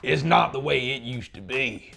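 A man's voice: medium pitch, animated, moderate pace, vocal fry, pushed and bright, faint music behind, voice pushed up, heavily acted. A man voicing a cranky, insistent old small-town man with a Southern American accent in an audiobook. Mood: grumbling. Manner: grumbling, goading.

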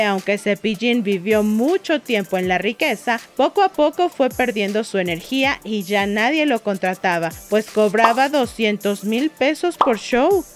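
Woman, gossip voice-over, chatty, playful, higher voice, light music behind. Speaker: narrator. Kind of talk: scripted gossip narration.

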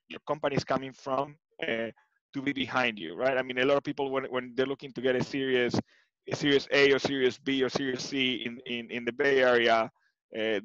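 A man with an Argentine accent and Spanish as his first language talks as an interview guest. His mid-pitched voice moves haltingly, repeating words as he goes. The sound is dry and a little muffled, as from a headset on a video call. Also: no room sound. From Buenos Aires, Argentina. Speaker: interview guest, tech executive. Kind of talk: conversational interview answers. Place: living room at home, indoors. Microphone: headset with boom mic, video call.

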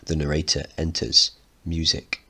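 A man's voice: a bass voice, little pitch movement, normal speed, creaky, sharp tone, husky. A man teaching informally, narrating a play and explaining it as he goes. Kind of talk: dramatic reading with lesson commentary.

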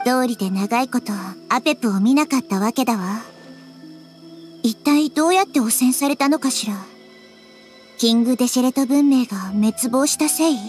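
Woman as a high-voiced, wise child goddess. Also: normal speed, darkish tone, faint music behind, lightly acted. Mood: grave, worried. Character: small childlike nature goddess, wise and thoughtful. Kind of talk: scripted game dialogue.

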